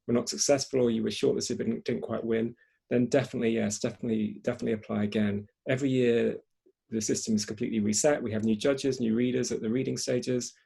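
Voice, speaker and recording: Gravelly, husky man hosting. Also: medium pitch, warm tone. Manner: hesitant, repeats words as he goes. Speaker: event host. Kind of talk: hosting an online panel discussion.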